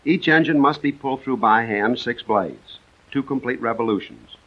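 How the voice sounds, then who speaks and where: A dull, band-limited 1940s film soundtrack carries an American man's voice at a medium pitch. He narrates military instruction from a script, measured and precise, setting out each step in an orderly way.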